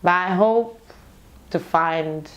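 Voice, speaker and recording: A young nurse born in Portugal speaks in a low, steady voice. She talks earnestly and thoughtfully, stopping now and then to search for the right word. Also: muffled recording. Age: young adult. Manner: earnest, reflective, pauses often to find words. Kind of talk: documentary interview. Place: London.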